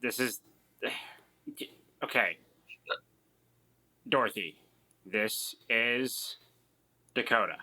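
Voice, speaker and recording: Very bright, slow-talking man. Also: medium pitch, breathy edge. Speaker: tabletop game player. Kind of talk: casual roleplay and discussion.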